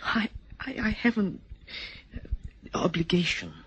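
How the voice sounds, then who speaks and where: An actor playing a nervous, earnest German émigré tutor with a German accent, speaking softly and stammering. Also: male voice, high voice, huge pitch swings, takes it slowly, husky, exaggerated voice. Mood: hesitant. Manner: soft, hesitant, stammering. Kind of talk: stage drama acting.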